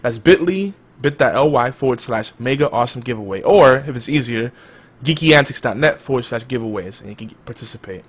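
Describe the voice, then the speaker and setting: A man hosting a casual podcast chat, talking in a hyped-up, loud, energetic way. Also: medium pitch, a little fast, husky. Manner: energetic, self-described loud and hype. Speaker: podcast host.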